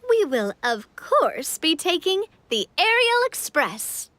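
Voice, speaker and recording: A woman's voice: extremely high pitch, sing-song, takes it slowly, a bit bright, no room sound, heavily acted. A woman voicing a cheerful, friendly young storybook king in a game. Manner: upbeat, friendly, reassuring. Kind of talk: game voice acting.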